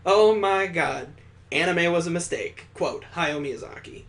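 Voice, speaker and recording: Sarcastic let's play host reading a visual novel, kinda fed up, medium pitch voice. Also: expressive, unhurried. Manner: sarcastic, exasperated. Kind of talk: game commentary with dramatic reading.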